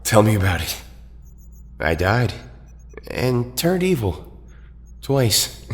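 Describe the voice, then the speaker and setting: A man plays an earnest young leader in an audio drama, halting and understated. His voice is deep and slow. It carries a hall reverb. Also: big pitch swings. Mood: sheepish. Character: earnest, apologetic, reserved young male team leader.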